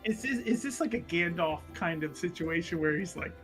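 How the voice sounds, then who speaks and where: A man chats through a tabletop game in an emphatic, casual way. His voice is high and very lively in pitch, with music in the background. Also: moderate pace. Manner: animated, emphatic.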